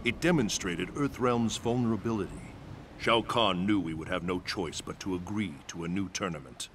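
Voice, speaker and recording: An actor playing a stern, noble thunder god in a video game, speaking in a solemn, formal, commanding manner. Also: male voice, low voice, normal speed, fairly bright tone, a little hiss or hum. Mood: grave, measured. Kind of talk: video game cutscene acting.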